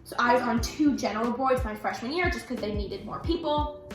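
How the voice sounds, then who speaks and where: A woman's voice: a little high, brisk. A young woman whose first language is Russian, chatting casually with many fillers about leading a student community. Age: young adult. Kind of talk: casual chat. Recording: echoey room with music.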